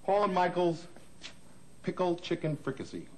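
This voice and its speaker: A man's voice: high-pitched, very animated, normal speed, a little mellow, a little hiss or hum, poor audio. A man playing a cook in a kitchen sketch, bantering and teasing with tongue-twisters. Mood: playful. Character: Paul, a playful cook in a comedy kitchen sketch.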